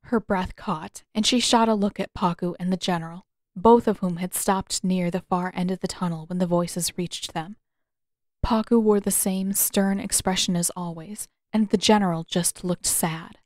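A woman reading scripted fiction aloud as a narrator, with expressive, dramatic delivery. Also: on the low side, normal speed, dry recording, studio quality. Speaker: audiobook narrator of fan fiction.

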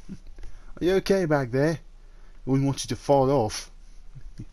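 A man playing a male dragon in human form, an eager, possessive suitor talking softly and haltingly to the listener in a roleplay audio drama. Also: mid-range voice, expressive. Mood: eager, fond.

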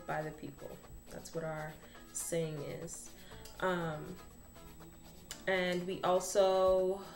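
A young woman chatting animatedly as she explains things to her camera in a small room. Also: fairly low pitch. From Bahamas. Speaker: vlogger. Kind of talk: casual explainer vlog. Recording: echoey room with faint background music.

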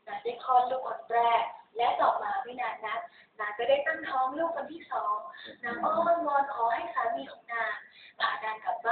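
A young female student, in her teens, narrates a moral tale in a scripted, dramatic contest style. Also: fairly high pitch. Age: teenager. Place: school competition room. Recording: distant, phone-like, echoey hall with noise.

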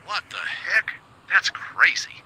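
A man's voice: slow pace, pushed and bright, a little hiss or hum, poor audio. A man playing a meddling, easily astonished radio producer in a video game radio drama. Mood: excited, bossy. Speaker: radio producer screening calls.

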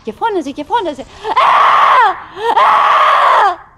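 An actress playing a wistful, chattering middle-aged wife in a theatrical radio monologue, in broken, repetitive phrases with dramatic pauses. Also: female voice, extremely high pitch, expressive, raised voice, very noisy. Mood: agitated, frantic. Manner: fragmented, repetitive, dramatic pauses with sudden swells.

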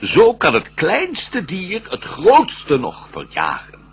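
Raspy, declaiming banished devil. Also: male voice, high voice, wildly swooping pitch, slow, exaggerated voice. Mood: bitter, vengeful. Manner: declamatory, grandiose. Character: vengeful banished devil, proud, scheming, fallen angel. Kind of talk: theatrical verse radio drama.

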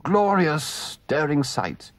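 A man voicing a boastful male toad gentleman who gushes in a dreamy, grandiose way, as part of a storybook reading. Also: on the high side, big pitch swings, takes it slowly, no room sound, pitched above the performer's own voice. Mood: rapturous.

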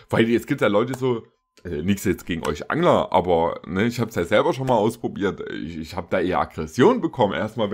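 Young guy, lowish voice, rambling joky reaction commentary, kinda mock serious. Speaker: reaction video host. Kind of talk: casual, joking reaction commentary. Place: small indoor gaming room.

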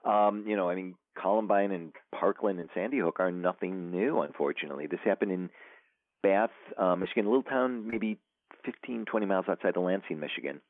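A very deep-voiced man speaks over a thin, phone-like line with a dry, close sound. He is an author chatting as a guest, wandering through his thoughts with lots of fillers and false starts. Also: fairly quick, dry recording. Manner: rambling, many fillers and restarts. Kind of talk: casual interview talk.

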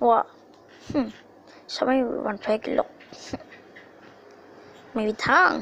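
A woman improvising a story with acted character lines, speaking haltingly and repeating phrases, on an amateur phone recording. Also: fairly bright tone, dull audio, slight background noise.

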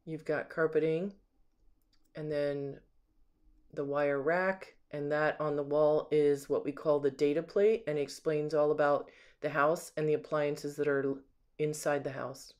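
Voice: mid-range voice, flat delivery, unhurried, darkish tone. A home sales agent giving a casual, unscripted walkthrough narration, admiring and describing things item by item, recorded on a handheld camera inside an empty house. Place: indoors, rooms of an empty house.

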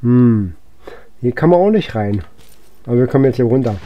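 A man's voice: mid-range voice, animated, moderate pace, dry recording, muffled recording. A man giving casual let's-play commentary as a gaming host, unhurriedly thinking aloud to himself.

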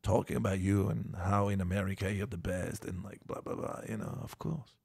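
Brief: middle-aged French-accented man, gravelly, blunt and laughing, podcast guest on studio mic. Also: sits low, fairly even intonation, brisk, a little mellow. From France. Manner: blunt, laughs often, frequent fillers. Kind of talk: casual interview chat. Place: small podcast studio indoors. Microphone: studio microphone, wearing headphones.

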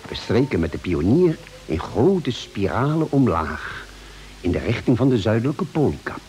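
An actor playing a calm ship's doctor who narrates a space expedition in a measured, articulate 1950s radio drama style. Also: male voice, fairly low pitch, slight background noise. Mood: measured, suspenseful.

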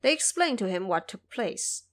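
A woman's voice: mid-range voice, very animated, moderate pace. A woman narrating a scripted audio drama as a storyteller.

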